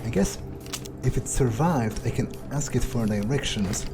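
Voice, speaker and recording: A man playing a weary, foul-mouthed vampire who thinks aloud in a halting, musing monologue, as in a game playthrough. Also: medium pitch, lively intonation, loud music behind the voice, lightly acted. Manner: halting, musing, casually profane. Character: weary, foul-mouthed male vampire muttering his thoughts. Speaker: gaming video creator playing in character.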